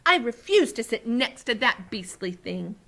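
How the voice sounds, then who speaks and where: A woman voicing a plucky, polite little girl from a children's storybook, outspoken yet ready to turn hushed. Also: big pitch swings, unhurried, vocal fry, full character voice. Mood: indignant. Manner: pitched up, often dropping to a hushed whisper.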